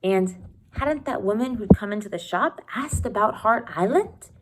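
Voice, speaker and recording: A woman teacher reading a children's novel aloud expressively, pausing to think aloud and comment for her students. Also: medium pitch, animated, very noisy. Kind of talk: read-aloud storytelling with asides to students.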